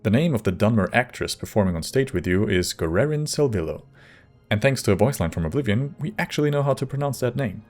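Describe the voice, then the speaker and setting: A man with a low voice narrates a scripted video essay as its host. Music plays clearly under his voice. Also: lively intonation, fast talker, darkish tone.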